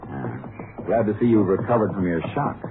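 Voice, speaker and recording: An actor playing a calm, skeptical Texas Ranger in a 1950s radio drama, speaking in a dry, measured, deadpan way. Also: male voice, sits low, creaky, exaggerated voice. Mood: dry, cool. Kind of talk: 1950s radio drama acting, first-person narration and dialogue. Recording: muffled vintage radio recording with music.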